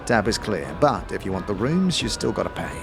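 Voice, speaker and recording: A man voicing a busy, placating tavern keeper in a fantasy audio drama, with animated patter. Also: on the low side, a little fast, creaky, over music. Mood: businesslike.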